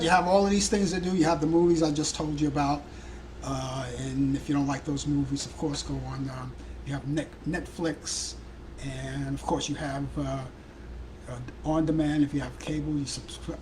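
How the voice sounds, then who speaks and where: A man from Brooklyn with a Brooklyn accent hosting a live talk show, chatting casually in a hoarse, worn-out voice. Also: on the high side, slight background noise, poor audio. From Brooklyn, New York. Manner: rambling, chatty, addresses viewers and callers by name.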